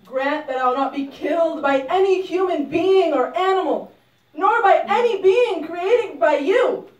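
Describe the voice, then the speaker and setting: A woman's voice: very high voice, lively intonation, unhurried, dull audio. A woman voicing an imperious demon king in a puppet show, pleading formally in a declamatory way. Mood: demanding. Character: demon king begging a god for immortality, demanding, imperious. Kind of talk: puppet show storytelling, theatrical. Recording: roomy echo, distant microphone.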